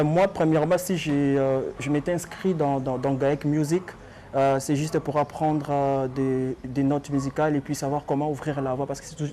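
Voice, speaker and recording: A man's voice: fairly high pitch, mellow, a little hiss or hum. A young man from Congo with a Congolese French accent, a guest musician speaking in a tired, hesitant way full of fillers. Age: young adult.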